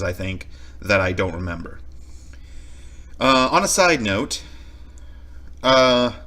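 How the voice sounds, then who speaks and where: Low, bright-voiced male reaction host. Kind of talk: casual commentary.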